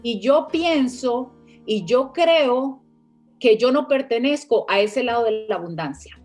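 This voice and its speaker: Lady, kinda high voice, walking through her point slowly, online interview. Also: lively intonation, darkish tone, soft background music. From Costa Rica. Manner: reflective, explaining step by step. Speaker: finance teacher and guest.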